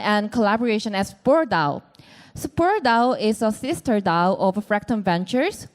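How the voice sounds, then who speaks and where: A woman's voice: mid-range voice, smooth. A woman with a Korean accent moderating a conference panel, speaking with frequent fillers and hesitations. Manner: hesitant, many fillers, light laughs. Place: conference venue resembling a bar.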